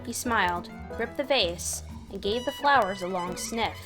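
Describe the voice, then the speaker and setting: A woman reading fan fiction aloud as an expressive third-person narrator with dramatic pauses. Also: medium pitch. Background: background music.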